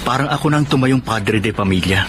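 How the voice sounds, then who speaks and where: An actor as an earnest Filipino breadwinner son with a medium-pitched voice. He is conciliatory and respectful in this drama, recorded over clear background noise. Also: a little fast, close to an everyday voice. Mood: apologetic, resigned. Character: dutiful, apologetic adult son and breadwinner. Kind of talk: Filipino broadcast drama acting. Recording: clearly noisy, background ambience.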